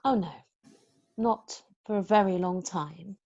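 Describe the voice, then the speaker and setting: A woman playing a prim, brisk, kindly nanny in an amateur script read-through. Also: lowish voice, creaky, a little mellow, highs cut off.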